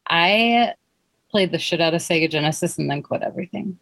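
A woman's voice: fairly low pitch, a little fast, gravelly, a bit bright, no room sound. A woman chatting casually and bluntly, with some swearing, on a video call. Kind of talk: casual chat.

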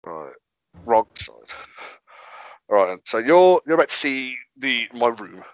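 A man's voice: medium pitch, big pitch swings, unhurried, no echo, lo-fi sound. A man from Birmingham in casual chat on a video call, telling rambling anecdotes and laughing easily. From Birmingham, England.

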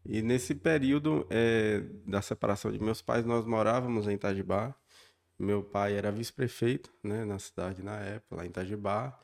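A man's voice: fairly low pitch, normal speed, creaky. A man in his forties from Bahia with a Bahian accent, a podcast guest telling his life story in an earnest way. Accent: Bahian Brazilian Portuguese. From Bahia, Brazil. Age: 40s. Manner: warm and earnest, frequent tag questions. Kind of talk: casual interview storytelling.

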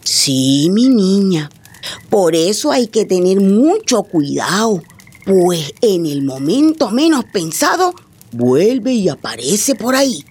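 A woman playing a Colombian grandmother telling spooky village legends to children, in a suspenseful, expressive way, for a radio play. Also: medium pitch, husky, exaggerated voice. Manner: slow, suspenseful, expressive storytelling. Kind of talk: theatrical radio storytelling. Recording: music behind the voice.

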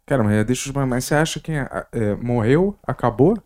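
A man's voice: medium pitch, lively intonation, fast talker, darkish tone. A man from Portugal who grew up in Rio, hosting a casual chat in a loose, joking way and sometimes losing his train of thought. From Portugal, raised in Rio de Janeiro. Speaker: podcast host.

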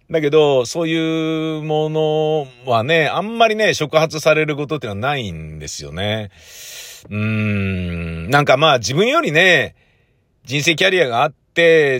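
A man's voice: medium pitch, a bit bright, dry recording, clean studio sound. A man who hosts radio, chatting casually on his own in a rambling, conversational way. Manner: rambling, conversational, with frequent sentence-ending "ne" tags. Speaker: radio show host and producer. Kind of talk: casual solo chat.

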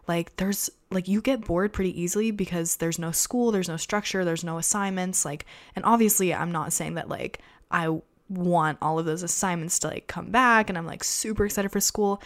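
A young woman hosting a casual solo podcast, her voice raspy from a sore throat, chatting in a rambling way full of fillers. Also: fairly low pitch, moderate pace, hardly any pauses. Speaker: podcast host.

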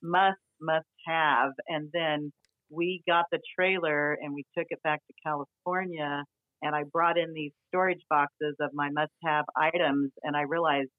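A man who is a podcast guest chats casually in a fairly high voice and laughs easily. His audio comes through slightly dull and muffled. The sound is dry with no room around it. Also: steady tone, a little slow, dry recording. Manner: cheerful, laughs often.

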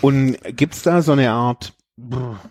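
A man's voice: mid-range voice, takes it slowly, a little mellow, husky, no echo, clean studio sound. A man interviewing a guest, giving short replies and asking follow-up questions. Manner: brief backchannel replies, probing questions. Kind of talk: conversational interview.

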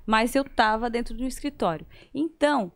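Casting: woman, higher-pitched voice, Santa Catarina accent, casual anecdotal chat with bits of re-enacted dialogue. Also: moderate pace. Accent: southern Brazilian, Santa Catarina. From southern Brazil. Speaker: guest, singer. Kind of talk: casual conversational storytelling.

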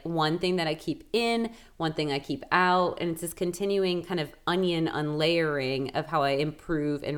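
A woman co-hosting a podcast, upbeat and explanatory, walking listeners through advice and products. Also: low-pitched. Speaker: podcast co-host. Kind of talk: casual chat and product promotion.

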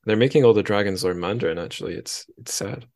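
A man's voice: on the low side, fast, darkish tone, no echo, studio quality. A man chatting casually as a podcast host, with a wry, dryly joking manner.

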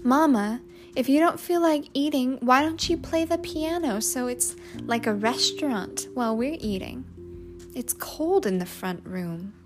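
A woman's voice: high voice, a little slow, over music, voice pushed up. A woman voicing a stubborn, outspoken young city girl in an audiobook, sincere, emphatic and defiant. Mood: earnest. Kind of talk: audiobook character dialogue.

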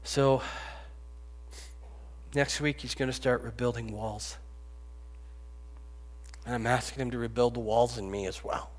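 A man in his fifties preaching a sermon, rising to loud pleading and dropping to hushed whispers. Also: medium pitch, fairly even intonation, measured pace, pauses often. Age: 50s. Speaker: pastor. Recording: long reverb, echoey hall.

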